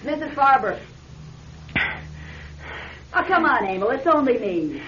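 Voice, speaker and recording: An actress playing a busy, dismissive landlady in a radio drama, brisk and impatient with an offhand reassurance. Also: high-pitched, big pitch swings, a bit bright, exaggerated voice. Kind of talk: old-style radio drama acting. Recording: muffled radio drama recording, faint noise.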